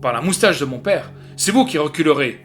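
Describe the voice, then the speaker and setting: A man's voice: fairly high pitch, animated, a little fast, fairly bright tone, soft background music. A man voicing a proud, impatient young knight in a storybook reading, defiant and formal. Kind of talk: literary audiobook dialogue.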